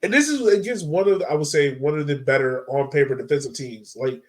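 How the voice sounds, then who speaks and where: A man co-hosting a podcast, chatting casually about sport in slangy, animated talk. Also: on the high side, fairly quick. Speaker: podcast co-host.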